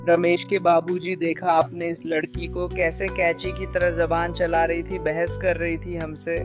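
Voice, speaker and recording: A man's voice: on the high side, little pitch movement, a little slow, over music, natural voice. A man playing a complaining, fretful village elder in a cartoon story. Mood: indignant.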